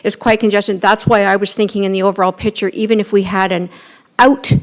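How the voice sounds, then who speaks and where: A middle-aged woman with a Canadian accent, a town councillor asking questions at a council meeting, with many fillers and restarts, speaking into a desk microphone in a council chamber. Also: medium pitch.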